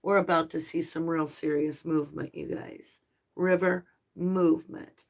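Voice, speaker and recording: A woman hosting a live tarot stream, talking softly and affectionately to her viewers, her voice slightly hoarse and tired. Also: deep voice, steady tone. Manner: soft, slow, spiritual and affectionate, addressing viewers as lovelies. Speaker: tarot reader and channel host.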